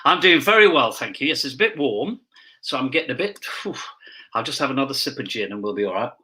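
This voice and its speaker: A man's voice: mid-range voice, lively intonation, quick pace, bright, forceful tone. A man in his sixties chatting as an interview guest, telling career anecdotes in a theatrical, drily jokey way. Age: 60s. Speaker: actor and pantomime dame, interview guest. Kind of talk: casual anecdotal chat.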